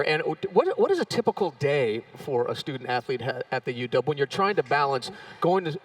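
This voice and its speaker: Male host, slightly high voice, dry sound set off-mic, energetic broadcast patter. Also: dry recording, far from the mic. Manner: enthusiastic, exclamatory. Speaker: event host. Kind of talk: live broadcast hosting.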